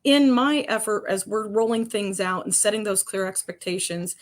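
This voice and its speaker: A woman with a commanding voice, answering interview questions in an emphatic, lively way. She sits mid-range in pitch and laughs lightly between her points. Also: normal speed. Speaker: construction industry guest.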